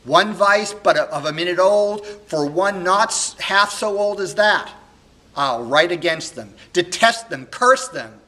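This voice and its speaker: A man's voice: high-pitched, animated, a little slow, bright, forceful tone, pitched above the performer's own voice, heavily acted, poor audio. A man playing a heartbroken, furious young husband in a Shakespeare soliloquy, declaiming bitterly and emphatically. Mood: furious, disdainful. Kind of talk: classical stage monologue.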